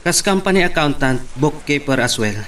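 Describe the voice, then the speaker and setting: A man's voice: fairly high pitch, animated, moderate pace, far from the mic, music in the background, natural voice. A man acting in a radio drama as an earnest, purposeful character. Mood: businesslike.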